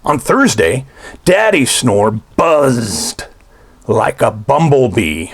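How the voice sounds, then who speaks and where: A man's voice: mid-range voice, very animated, extremely slow, slightly rough. A man reading a children's storybook aloud in a theatrical, playful way, drawing out his phrases.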